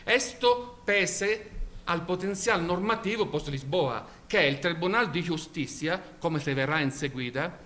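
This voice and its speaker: Italian-accented man, bright formal lecture voice. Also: fairly high pitch. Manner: formal, measured, with slips and self-corrections. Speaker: invited law professor. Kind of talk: academic conference talk.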